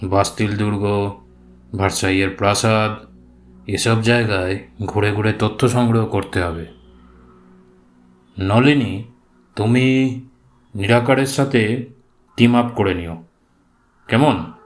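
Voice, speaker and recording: A man acting a courteous, slightly awkward senior journalist in an audio drama, careful in speech. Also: fairly low pitch. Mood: instructive, measured. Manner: halting, careful, hesitant.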